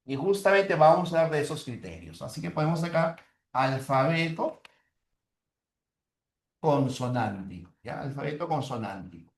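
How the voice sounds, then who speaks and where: Peruvian-accented man, teacher, repeats terms, very animated pitch, echoey room. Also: normal speed. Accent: Peruvian Spanish. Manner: repeats key terms and checks with "¿ya?" and "¿no?". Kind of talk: lecturing a class. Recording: roomy echo.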